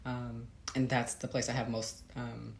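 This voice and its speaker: An American woman explains her work in a conversational interview style. Her voice has a creaky edge and she often pauses to find words. The room is echoey, with faint noise behind her. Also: very deep voice, flat delivery. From Midwestern United States. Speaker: author being interviewed. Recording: roomy echo, faint background noise.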